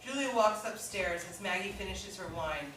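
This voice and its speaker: A woman's voice: medium pitch, animated, moderate pace, far from the mic. A woman reading scripted stage directions as narration at an indoor staged reading. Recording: very echoey room, loud background noise.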